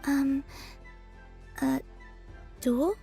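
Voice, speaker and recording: A gentle, curious young goddess from a video game. Her high voice moves slowly and politely, with hesitant pauses over soft music. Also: steady tone, vocal fry, full character voice. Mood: curious, puzzled. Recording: music under the voice.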